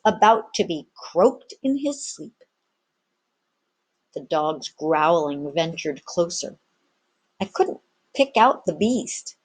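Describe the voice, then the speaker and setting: A woman's voice: lowish voice, breathy edge. A woman narrating an adventure story expressively, like a teacher reading aloud to students.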